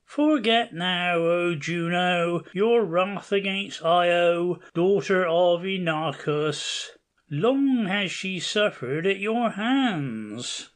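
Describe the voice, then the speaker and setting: A man's voice: sits high, animated, very slow, exaggerated voice. A man playing a commanding king of the gods, declaiming in a stately, formal way in an amateur audio play. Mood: solemn pleading.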